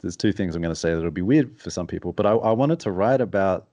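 A man speaking as a podcast guest, with a deep, mumbly voice and a quiet, introverted, reflective way of talking. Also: animated, quick pace, no echo, highs cut off. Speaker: podcast guest, author and strategist. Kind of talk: casual interview chat.

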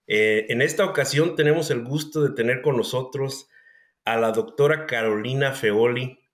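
A man's voice: mid-range voice, normal speed. A man hosting a podcast interview, polite and full of fillers as he introduces the guest. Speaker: podcast host. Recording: roomy echoey audio.

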